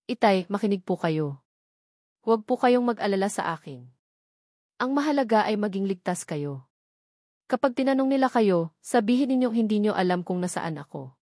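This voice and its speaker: A woman voicing a young woman who speaks politely to her father and forces her voice to stay steady in an audio drama. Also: medium pitch, normal speed, long pauses, dry recording, clean studio sound, natural voice. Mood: tender. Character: young woman fleeing home, brave and tender.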